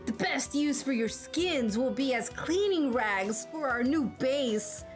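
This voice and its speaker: A menacing masked game villain, acted out by a woman in a high voice. The delivery is slow, sneering and taunting, full of contempt, with music playing behind it. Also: lively intonation. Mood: threatening.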